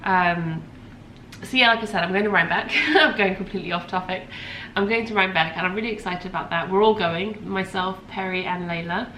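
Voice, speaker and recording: A woman's voice: fairly low pitch, a little fast, pushed and bright. A woman in her thirties hosting a podcast, chatting casually and rambling with lots of ums, a little congested from a cold. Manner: rambling, chatty, with many ums and self-corrections. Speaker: podcast host. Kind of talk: casual chat. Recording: echoey room with faint ambient noise.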